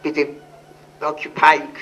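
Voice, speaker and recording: A woman's voice: low voice, monotone, a bit bright, distant mic, muffled recording. An older woman from Poland with a Polish accent, giving an earnest personal testimony to an audience and often correcting herself mid-sentence. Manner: earnest, halting, with restarts and self-corrections. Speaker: Holocaust survivor speaker.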